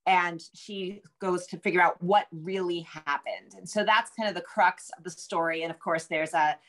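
A woman's voice: on the low side, steady tone, moderate pace, a bit bright. Woman author explaining in an interview. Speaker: guest author. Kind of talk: conversational interview answers. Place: home room with bookshelves, indoors. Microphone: video call.

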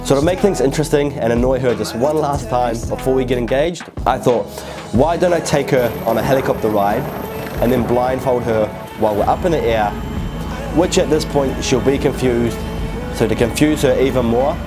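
A young man, a fitness YouTuber telling an excited story to camera, recorded indoors on a lapel mic. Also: mid-range voice, normal speed. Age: young adult. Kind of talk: upbeat vlog narration to camera. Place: indoor living room, seated. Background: background music.

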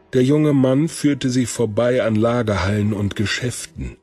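A man's voice: lowish voice, a little mellow. A man narrating an audio drama as a scripted storyteller.

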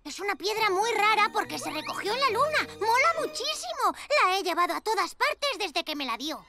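A performer voicing an eager, stubborn little superhero boy in a cartoon, excitable and pleading. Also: very high-pitched, a little fast, full character voice. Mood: wistful, excited. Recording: music behind.

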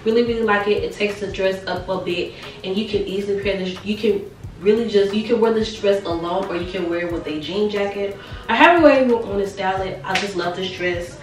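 A young woman in her twenties chatting casually through a clothing try-on, her voice a little raspy from a sore throat, repeating words for emphasis. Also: mid-range voice, fairly quick. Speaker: fashion vlogger. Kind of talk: casual try-on haul chat. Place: small bright bedroom. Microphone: camera mic a few steps away. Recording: roomy sound from a distant camera.

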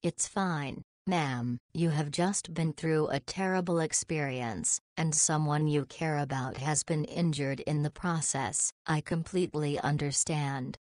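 Low-pitched, formal young doctor. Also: female voice, a little slow, few pauses, a little mellow, no room sound, studio quality. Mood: polite, composed. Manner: formal, precise, reassuring. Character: polite, composed young woman doctor, petite and formal. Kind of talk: visual novel voice acting.

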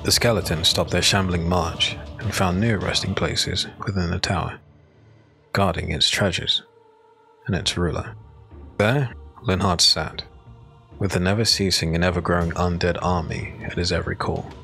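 Low-voiced man narrating dark fantasy. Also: steady tone, creaky. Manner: solemn, measured storytelling. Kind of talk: dramatic scripted dark fantasy audiobook narration. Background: music.

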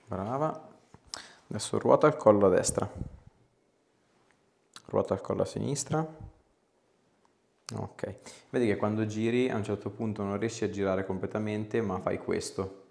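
A man, reassuring and informal as he gives a patient gentle instructions. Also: lowish voice, moderate pace, creaky, long pauses. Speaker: chiropractor. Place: small clinic treatment room. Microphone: clip-on lapel mic.

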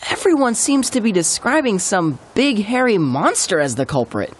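A woman's voice: mid-range voice, sing-song, moderate pace, breathy edge. A woman voicing a skeptical, inquisitive young detective in a cartoon game. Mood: suspicious. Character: skeptical, sharp-eyed young detective in kids' gang.

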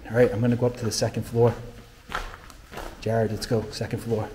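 Flat-toned, low-voiced man on noisy audio. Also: brisk. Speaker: host of an urban exploring video. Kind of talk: casual narrated exploring vlog, addressing viewers. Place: inside an abandoned mausoleum at night. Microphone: handheld phone. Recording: noisy handheld phone recording.

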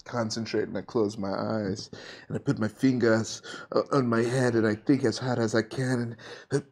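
A man playing a tabletop game, describing his character's actions in a rambling, animated way full of "like"s. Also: mid-range voice, moderate pace. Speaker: player. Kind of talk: tabletop roleplay narration.

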